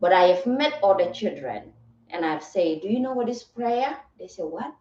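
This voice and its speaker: A woman's voice: medium pitch, animated, normal speed. A woman giving a live motivational talk in a soothing, earnest and reflective way, often checking in with her listeners. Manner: earnest, reflective, rhetorical check-ins like "right?" and "okay". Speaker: livestream host and motivational content creator. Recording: roomy echo, distant mic, slightly muffled.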